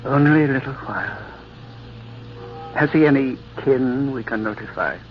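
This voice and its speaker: A man playing a grave, compassionate monastery father, gentle and solemn, in an old-time radio drama. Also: mid-range voice, moderate pace, a little hiss or hum, lightly acted. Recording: muffled old radio recording.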